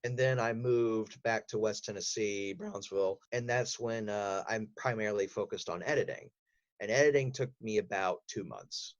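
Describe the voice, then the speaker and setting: A man's voice: on the low side, moderate pace. A man speaking as a podcast guest, telling detailed, enthusiastic stories in casual interview conversation. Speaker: podcast guest, voice actor and audio drama writer.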